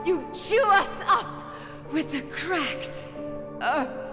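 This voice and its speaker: A woman's voice: high-pitched, lively intonation, heavily acted. An actress playing a grieving, devout desert mother in a game cutscene, speaking solemnly and tenderly. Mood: bitter lament. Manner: slow, solemn, tender delivery. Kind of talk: dramatic game cutscene acting. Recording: long reverb, music behind.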